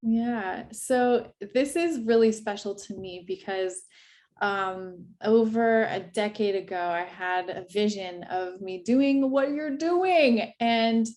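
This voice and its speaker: A woman's voice: medium pitch, slow pace, darkish tone. A woman hosting an interview, effusive and prone to repeating herself. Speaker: interview host, art school founder. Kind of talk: casual interview.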